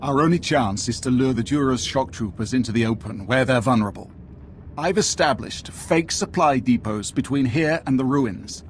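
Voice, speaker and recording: A man's voice: medium pitch, moderate pace, lightly acted. A stern Imperial military commander from a game cinematic, acted by a man. He speaks in a middle register with clipped, scornful authority, over faint background noise. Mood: stern, briefing. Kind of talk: dramatic video game acting.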